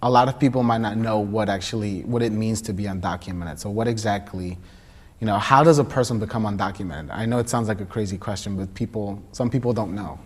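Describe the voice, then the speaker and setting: A man's voice: fairly low pitch, fast, darkish tone. A man hosting an interview, friendly and mixing Spanish phrases into his questions. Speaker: host. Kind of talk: interview host.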